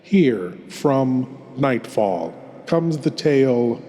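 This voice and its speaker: A man hosting a horror show with a scripted, theatrical introduction, dramatic and ominous, with deliberate pauses. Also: mid-range voice, very animated, mellow. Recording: long cavernous reverb.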